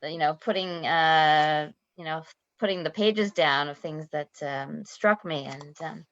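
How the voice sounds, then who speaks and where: Low-voiced woman host, dull dry audio. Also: measured pace, fairly bright tone, no echo. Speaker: event host. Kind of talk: moderating a panel.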